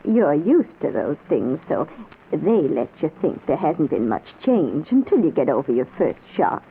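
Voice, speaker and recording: An actress playing a gentle, motherly dead wife, soothing and earnest, in an old-time radio drama. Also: sits low, very animated, unhurried, a little hiss or hum, full character voice. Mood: gently explaining. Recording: tinny old radio recording.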